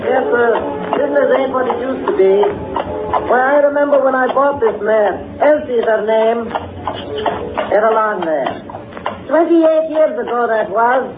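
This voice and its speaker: Very high-voiced old carriage driver. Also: male voice, expressive, a little slow, over music. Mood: rambling, nostalgic. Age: older. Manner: rambling, folksy. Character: talkative old horse-carriage driver, nostalgic, grumbling, deferential. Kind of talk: 1930s radio acting. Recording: tinny, noisy, echoey 1930s radio recording.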